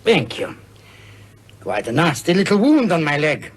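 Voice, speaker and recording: An actor playing a cold, commanding criminal gang leader in a radio thriller, clipped, authoritative and coolly threatening. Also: male voice, fairly high pitch, big pitch swings, a little slow, slightly rough, exaggerated voice. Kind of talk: 1970s radio thriller acting.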